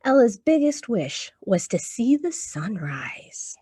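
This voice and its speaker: Children's storytime host, female, higher register, unhurried and encouraging, slightly breathy edge. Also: sing-song, warm tone, no echo, studio quality. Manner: encouraging, addressing a young audience. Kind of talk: children's storytime with songs and picture-book reading.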